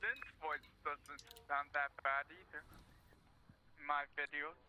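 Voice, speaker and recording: A man with a higher voice joking in casual voice chat, heard through a clipped in-game radio filter. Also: steady tone, slow pace, pushed and bright. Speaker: fellow player on voice chat.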